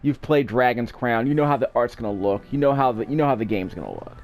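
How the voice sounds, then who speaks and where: A man's voice: medium pitch, animated, quick pace, a little mellow. A man casually commentating on a video game as its host, rambling off into asides. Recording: game music behind. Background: video game music.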